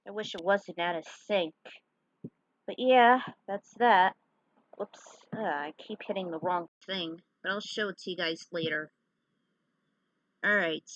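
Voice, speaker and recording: A woman's voice: lowish voice, a little slow, pauses often, a bit bright, no echo, dull audio. A woman narrating a story and chatting casually over gameplay. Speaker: storyteller and gamer reading own fan fiction.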